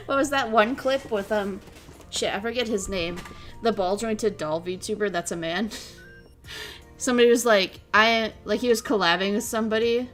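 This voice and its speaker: A woman's voice: mid-range voice, measured pace, long pauses, a bit bright, faint music behind. A young woman streaming a casual chat, animatedly retelling stories, laughing often and answering her chat. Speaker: VTuber streamer.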